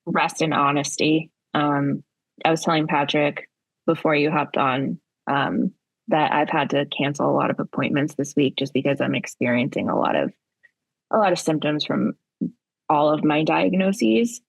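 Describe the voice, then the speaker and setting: Woman co-host, raspy tired voice with gravelly edges, dry close sound. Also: low voice, no echo, low-quality recording. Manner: candid, halting, many fillers. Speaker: podcast co-host, therapist. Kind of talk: casual podcast chat.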